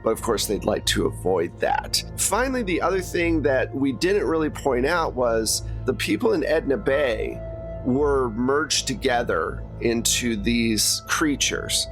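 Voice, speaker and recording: A man's voice: mid-range voice, big pitch swings, unhurried, soft background music. A man running a tabletop game as game master, recapping and narrating in an informal, explanatory way.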